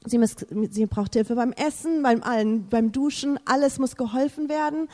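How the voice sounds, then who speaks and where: A woman with a high voice gives a fervent faith testimony, repeating herself and sometimes hunting for words. She is not a native speaker of the language. Her voice rings with a long hall reverb. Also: normal speed, mellow. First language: English. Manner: fervent, repetitive, searching for words.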